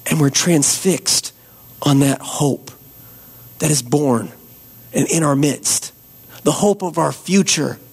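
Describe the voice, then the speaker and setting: A hoarse man preaching a Christmas Eve sermon and leading prayer as a pastor, slow and earnest. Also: high-pitched, darkish tone. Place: church.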